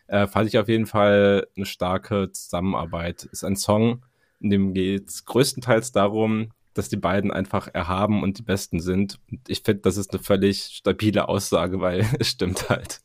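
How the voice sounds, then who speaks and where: Low-voiced man, close and dry. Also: steady tone, normal speed, no room sound. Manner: slangy, colloquial German youth speech. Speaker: podcast co-host. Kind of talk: casual music review chat.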